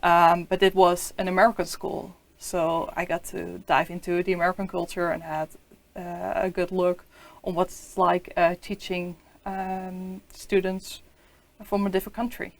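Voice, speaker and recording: A woman's voice: on the low side, little pitch movement, unhurried, creaky. A young woman with a Dutch accent, a student teacher reflecting hesitantly on a video call. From Netherlands. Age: young adult. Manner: hesitant, many fillers. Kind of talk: casual reflection.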